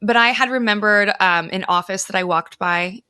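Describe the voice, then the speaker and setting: A woman's voice: medium pitch, moderate pace, sharp tone, clean tone, no room sound. An American woman speaking as a podcast guest, telling her own story in a casual way. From United States. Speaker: podcast guest, travel guide founder.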